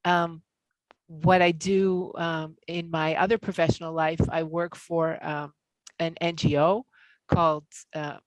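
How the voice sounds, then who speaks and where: A woman's voice: low-pitched, flat delivery, unhurried, no room sound, rough recording. A woman from the United States with an American accent introducing herself fluently on a video call. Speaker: workshop co-host.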